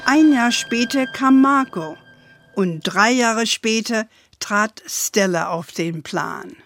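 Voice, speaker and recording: A woman's voice: on the high side, takes it slowly, fairly bright tone, no echo. A woman narrating a German children's radio play in a storytelling style. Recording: music under the voice.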